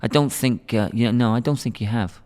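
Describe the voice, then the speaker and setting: A man's voice: mid-range voice, a little fast, darkish tone. A middle-aged British man speaking casually as a podcast guest, rambling and often repeating words as he restarts sentences. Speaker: podcast guest, school academic director. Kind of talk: casual interview talk. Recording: hall reverb.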